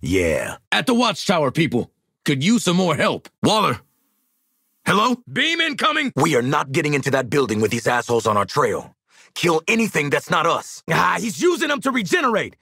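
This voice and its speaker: A man playing a cocky, gruff hitman mercenary in a video game, with a brash, streetwise manner and a raspy voice. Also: a little high, wildly swooping pitch, normal speed, bright, forceful tone, no echo, clean studio sound, exaggerated voice. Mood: urgent, combative. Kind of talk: game combat barks.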